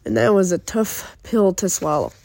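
A young woman with a stuffy cold, speaking in an earnest, halting way. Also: mid-range voice, lively intonation, creaky, dark tone, no room sound. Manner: earnest, halting, with fillers and restarts. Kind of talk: personal reflection and poetry reading.

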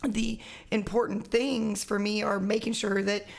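A woman, a realtor chatting as a podcast guest in a quick, laughing way. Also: mid-range voice, little pitch movement. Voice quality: raspy voice. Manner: quick, laughing, self-deprecating talk. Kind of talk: casual interview chat.

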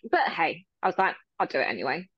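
A woman who works as a ring announcer, chatting in an interview, bubbly and quick to laugh, with a loud voice. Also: low-pitched, husky, no room sound, dull audio. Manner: bubbly, laughs often, animated retelling. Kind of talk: casual interview chat.

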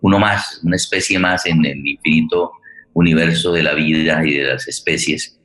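A man with a Colombian accent speaking as a host in an unscripted, reflective introduction, halting and repeating words as he goes. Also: very deep voice, fairly even intonation, a bit bright. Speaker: host and theater director. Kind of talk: unscripted spoken introduction to a forum. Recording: roomy, echoey sound.

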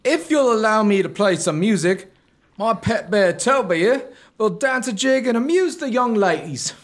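A man's voice: high voice, lively intonation, normal speed. A man playing a wily suitor posing as a humble old sailor showman, folksy and ingratiating, in an audio comedy. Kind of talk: comic audio drama acting.

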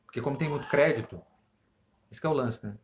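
A man from Brazil in casual podcast chat, asking questions and tagging his points with quick agreements. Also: medium pitch, slight background noise. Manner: conversational, asks questions, backs up points with short agreements. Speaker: podcast co-host.

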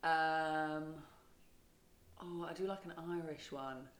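Chatty woman, low voice. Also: fairly even intonation, slow, echoey room. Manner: chatty, laughs often, tells rambling stories. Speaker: podcast co-host. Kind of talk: casual chat.

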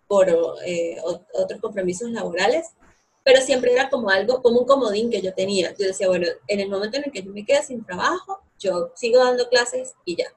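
A woman's voice: fairly high pitch, breathy edge. A young woman, talking about her academy in an interview, enthusiastic and full of fillers. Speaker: guest, co-founder of an online English academy. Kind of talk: conversational interview.

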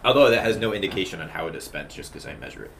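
A young man co-hosting a podcast in casual chat, speaking in a low, gravelly, monotone way, measured and a little hesitant. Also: a little hiss or hum. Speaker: podcast co-host.

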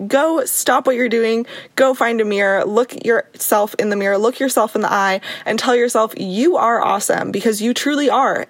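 Upbeat young woman podcast host, slightly raspy. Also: medium pitch, dry recording. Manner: warm, encouraging, chatty with laughs. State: slightly raspy from a sore throat. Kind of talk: upbeat motivational podcast talk.

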